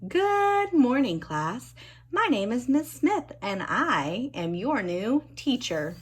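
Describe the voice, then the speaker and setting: A cheerful lady schoolteacher played by a woman storybook reader speaks in high, swooping singsong. She stretches her words out and stays unflappably sunny, as in a picture book read aloud. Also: big pitch swings, breathy edge, full character voice. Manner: singsong, drawn-out, bright greetings.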